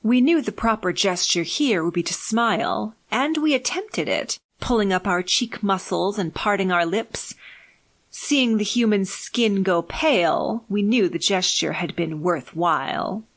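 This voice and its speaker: A woman reads scripted fiction as an audiobook narrator. Her pitch sits in the middle range, and her delivery is measured and deliberate. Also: animated.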